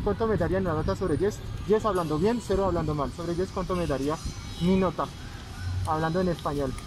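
Young French-accented man, street interviewer, high voice, loud music bed. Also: low-quality recording. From France. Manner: friendly, patient, repeats questions slowly. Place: city plaza outdoors.

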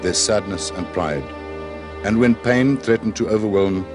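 Deep-voiced man narrating a solemn documentary. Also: normal speed. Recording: narration over music.

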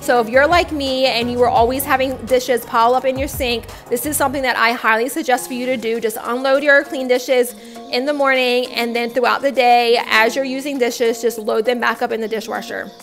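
A woman's voice: on the high side, fairly even intonation, fairly quick, fairly bright tone. A young woman, a stay-at-home mom, narrating her cleaning routine in a chatty, upbeat voice-over. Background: faint background music.

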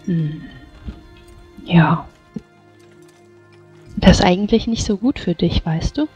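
A woman playing a tabletop game, improvising in character in a gentle, coaxing, hesitant way. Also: fairly low pitch, slow, breathy edge. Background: faint music.

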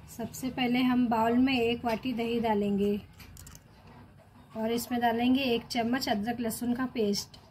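A woman with an Indian accent giving a step-by-step recipe voice-over as a home cooking host. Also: fairly high pitch. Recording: clearly noisy home recording.

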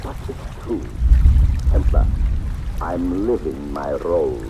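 A man's voice: a bass voice, lively intonation, takes it slowly, background noise, heavily acted. A pompous fretful male stage star, old radio play, voice swoops all over, rain and water behind. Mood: fretful, dramatic. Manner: grandiose, effusive, flustered hesitations. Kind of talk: vintage radio drama acting.

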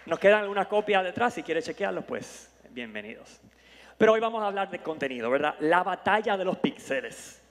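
A man gives a playful, self-promoting keynote from a large conference stage, speaking into a headset mic. His voice sits a little high for a man. A long hall reverb trails behind every phrase. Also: very animated, a little fast, fairly bright tone. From born in New York, parents from the Dominican Republic. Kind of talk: humorous keynote talk.

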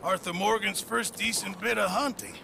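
An actor playing a fussy, grumbling middle-aged camp cook, blustery and plaintive, in a video game cutscene. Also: male voice, sits high, moderate pace. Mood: friendly. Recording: clear background noise.

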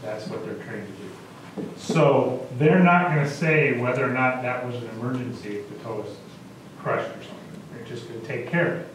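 A man's voice: medium pitch, moderate pace, noisy background. A man explaining matters plainly as a council member at a public meeting. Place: small council chamber, indoors. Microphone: distant camera microphone. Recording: very echoey room from far off.

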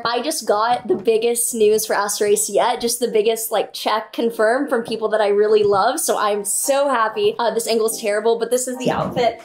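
A woman's voice: fairly high pitch, low-quality recording. Candid young woman vlogging with music behind. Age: young adult. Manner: candid, swears freely, drawn-out casual fillers. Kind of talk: casual vlog chat to camera. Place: holiday villa, indoors and on sunny terraces. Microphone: handheld phone at arm's length.

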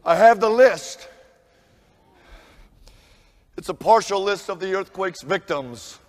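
A grave 1900s newspaper man, acted by a man on stage, addresses an anxious crowd. His high, projected voice moves extremely slowly and solemnly, ringing through a reverberant theatre. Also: animated. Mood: grave, reluctant. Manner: loud, halting, solemn public announcing. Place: theatre stage, filmed from audience. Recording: long hall reverb, faint crowd noise.

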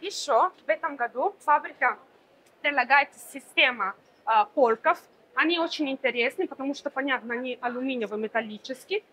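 A woman's voice: high voice, brisk, a bit bright, breathy edge, rough recording. A woman in her thirties presenting furniture at a fair stand, explaining fluently with many small fillers. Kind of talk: product demonstration.

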